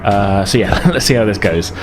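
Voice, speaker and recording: A man's voice: lowish voice, normal speed, noisy background. A man acting as game master, explaining and narrating a tabletop game in a casual, hesitant way.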